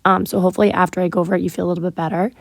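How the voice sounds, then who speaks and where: A hoarse woman teacher who is sick and has partly lost her voice. She talks through a math lesson patiently and step by step, with a low, gravelly tone. The sound is dry and close. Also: flat delivery, rapid-fire, no room sound. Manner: patient, step-by-step explaining with many ums. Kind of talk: recorded math lesson walkthrough.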